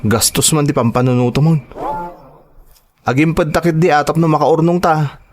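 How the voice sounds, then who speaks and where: An actor with a Filipino Ilocano accent playing a cold, dismissive young husband in an audio drama. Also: male voice, fairly high pitch, steady tone, normal speed, close to an everyday voice. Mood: resentful. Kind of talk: radio-style drama acting.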